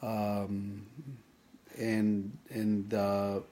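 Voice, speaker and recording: A man, recalling his childhood in a reflective personal vlog, thinking aloud with many pauses and restarts. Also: lowish voice, monotone, warm tone. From Philippines. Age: 50s. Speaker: vlogger sharing his kidney story.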